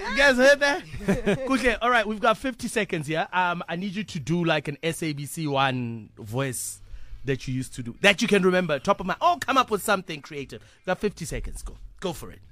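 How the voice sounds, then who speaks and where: A man's voice: sits high, animated, a little fast, fairly bright tone, no echo. A man hosting a radio show, joking and laughing with his guest. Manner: playful, joking, laughs a lot. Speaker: radio host. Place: radio studio.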